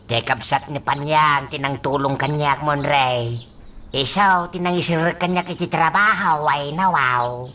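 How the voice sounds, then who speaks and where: A woman's voice: sits low, unhurried, bright, forceful tone. A woman acting a folksy older character in a radio drama, drawling and chuckling, with a repeated catchphrase. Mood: hesitant, fretting.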